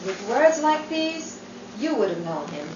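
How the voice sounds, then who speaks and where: A woman acting as a steady, comforting young woman friend in 1940s stage style, heard from far off on an echoey stage. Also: fairly high pitch, measured pace, dull audio, noisy background. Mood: soothing. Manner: calm, comforting. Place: theater stage.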